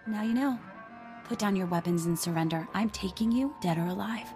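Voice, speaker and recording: A woman's voice: medium pitch, fairly quick, music in the background, natural voice. A woman playing a vengeful young bounty hunter in a video game, intense, accusing and resolute.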